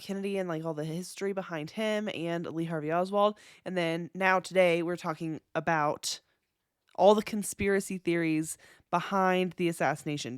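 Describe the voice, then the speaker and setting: A woman's voice: lowish voice, no room sound, clean studio sound. A young woman with a congested voice hosting a podcast, talking in a casual, skeptical way with lots of fillers. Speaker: podcast host. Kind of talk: casual conspiracy podcast narration.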